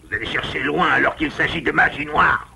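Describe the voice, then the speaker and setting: A man playing a stubborn, scheming old grandfather wolf in a French cartoon, with a gravelly, sharp voice, evasive and blustering. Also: fairly high pitch, a little fast, a little hiss or hum, heavily acted, rough recording. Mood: accusing. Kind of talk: French cartoon dub acting.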